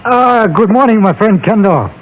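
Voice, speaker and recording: A courteous Chinese merchant in an old radio Western, with a high voice and a Chinese accent. His phrasing is formal, trusting and measured. The recording is dull and muffled. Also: animated, noisy background. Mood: polite, puzzled. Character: courteous, trusting older Chinese storekeeper and mine owner. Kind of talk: old-time Western radio drama acting.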